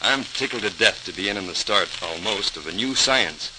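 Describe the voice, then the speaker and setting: Guy acting eager young son in old radio play, lively up and down voice, real crackly. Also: fairly low pitch, normal speed, natural voice. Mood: enthusiastic. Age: young adult. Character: eager, earnest grown son back on leave. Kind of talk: 1940s radio drama acting.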